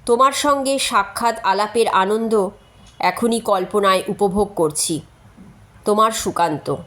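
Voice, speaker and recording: Woman reading as earnest, solemn bachelor, very slow. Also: medium pitch, fairly bright tone, pitched below the performer's own voice, lightly acted. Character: earnest, overly serious educated bachelor writing to his fiancée. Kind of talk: storybook reading of a letter.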